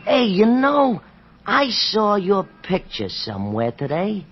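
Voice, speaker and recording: A man's voice: high-pitched, wildly swooping pitch, slow, soft background music, exaggerated voice. Chatty nosy newsstand man, voice jumps all over, muffled old radio. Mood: friendly, curious. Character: talkative, nosy, friendly male newsstand vendor. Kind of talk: old-time radio drama acting. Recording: dull, noisy old radio recording.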